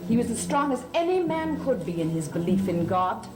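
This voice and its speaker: A woman's voice: on the high side, sing-song, a little fast, reverberant, music in the background. An actress playing a firm, sceptical woman in a gothic soap opera. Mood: firm, solemn. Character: firm, sceptical woman defending a dead friend.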